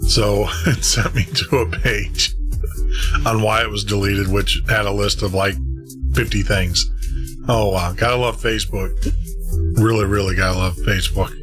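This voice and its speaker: A man's voice: on the low side, fairly even intonation, unhurried, music in the background. A man hosting a late-night radio show and podcast, chatting casually, rambling and laughing at himself. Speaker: host.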